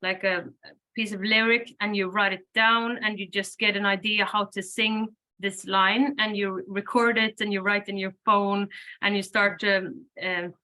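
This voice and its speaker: A woman whose first language is Swedish, a band singer and lyricist, answering interview questions thoughtfully, with many pauses and fillers and frequent laughs. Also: mid-range voice, steady tone, bright, forceful tone. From Sweden.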